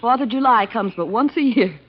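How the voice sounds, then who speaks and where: A tender, coaxing wife and mother in a 1940s radio drama. Her voice is high and swoops expressively, heard through a dull vintage recording. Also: lively intonation, fairly quick, slightly rough. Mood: teasing, soothing. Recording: muffled old radio recording.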